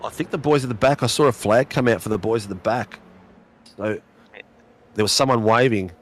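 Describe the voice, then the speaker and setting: A man with a hoarse voice calling a sim race with excited, rapid play-by-play. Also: medium pitch. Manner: fast, exclamatory, calls names rapidly. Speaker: race commentator.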